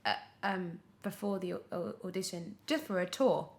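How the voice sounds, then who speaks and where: A young woman chatting casually as an interview guest, telling her story in an animated, expressive way. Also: lowish voice, moderate pace. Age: young adult. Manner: animated storytelling, acts out her own past thoughts. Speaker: podcast guest, radio drama actor.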